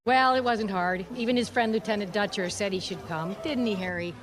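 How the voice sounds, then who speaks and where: A woman's voice: mid-range voice, a little fast, lightly acted. An actress playing a detective's poised, teasing female associate in a radio drama, with a wry, friendly manner. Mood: sociable, sincere.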